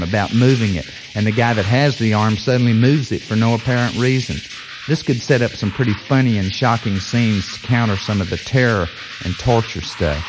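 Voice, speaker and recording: Low-pitched man narrating over music. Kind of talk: narrated audio story pitching a lurid film treatment.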